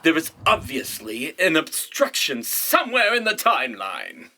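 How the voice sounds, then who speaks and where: An actor playing a haughty, melodramatic director of a celestial department in a comic audio drama, declaiming with drawn-out emphasis. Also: medium pitch, huge pitch swings, vocal fry, pushed and bright, heavily acted. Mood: haughty explaining.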